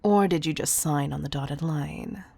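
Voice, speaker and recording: A woman playing a composed, commanding CEO in an intimate roleplay audio, speaking in a measured, teasing, patronizing way with affectionate firmness. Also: deep voice, creaky, warm tone, breathy edge, dry recording, exaggerated voice. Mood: patronizing, probing.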